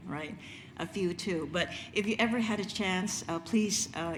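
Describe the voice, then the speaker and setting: A woman's voice: fairly low pitch, slight background noise. A middle-aged woman from Vietnam, a chef giving a conference talk, conversational with many fillers and restarts. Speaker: chef presenter. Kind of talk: conference presentation.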